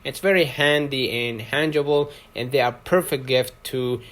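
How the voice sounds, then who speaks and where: A man with a mid-pitched voice presents a product for an online video. He reads scripted promotional lines haltingly, breaking them into short phrases. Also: fairly bright tone. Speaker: product review video host.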